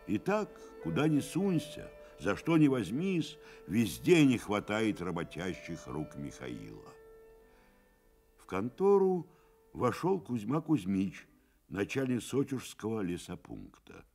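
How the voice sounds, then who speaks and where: Slow, expressive male radio narrator. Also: on the low side, creaky, soft background music. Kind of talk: scripted radio drama narration.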